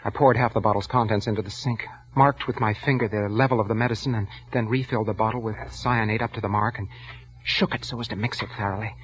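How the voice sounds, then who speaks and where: An actor playing a nervous, guilt-ridden husband who narrates his own crime in an intense, halting 1940s radio style. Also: on the low side, fairly even intonation, natural voice. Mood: cold, scheming. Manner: intense, haunted, halting delivery. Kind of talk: 1940s radio thriller acting, first-person narration. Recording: muffled vintage radio broadcast, music underneath.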